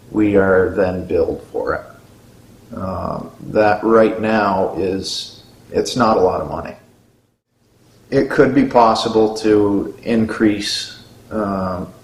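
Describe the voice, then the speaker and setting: Young male first responder, slow, deliberate delivery, echoey garage with faint hum. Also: fairly low pitch. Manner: slow and deliberate, pausing often. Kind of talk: interview answers. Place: fire station garage.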